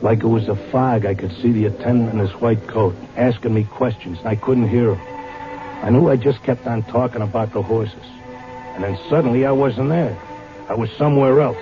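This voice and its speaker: A man's voice: mid-range voice, a little fast, lightly acted. An actor playing a cynical, streetwise racetrack gambler telling his strange tale in an old radio drama, colloquial and wisecracking. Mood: haunted recollection. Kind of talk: old radio drama first-person narration. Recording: dull vintage radio recording with music.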